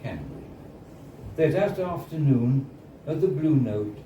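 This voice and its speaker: A man gives a live literary reading with an ordinary mid-pitched voice. He tells the story in a measured, wistful way with deliberate pauses. The recording is distant and roomy, with clear noise behind him. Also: animated. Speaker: reader of a short story. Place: indoors at a bar, live reading. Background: clear background noise and room ambience.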